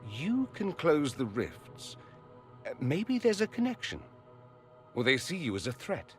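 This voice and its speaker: An actor playing an urbane, flamboyant mage in a video game, wry, theatrical and self-assured. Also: male voice, fairly high pitch, wildly swooping pitch, unhurried. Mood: dry, concerned. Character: witty, flamboyant, urbane male mage from a foreign empire. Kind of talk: video game character acting. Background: music.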